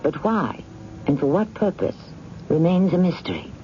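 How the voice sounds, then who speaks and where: A man hosting a 1970s radio mystery, narrating in a deliberate, wry, ominous and literary manner. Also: fairly high pitch, big pitch swings. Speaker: host. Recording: dull, noisy 1970s radio broadcast.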